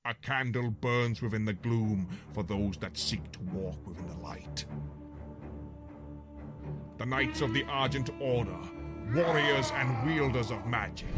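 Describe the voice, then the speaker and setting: A man playing a theatrical fantasy storyteller in a trailer, with dramatic, archaic phrasing over music. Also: lowish voice. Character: theatrical fantasy storyteller, hearty greeter turned ominous doomsayer.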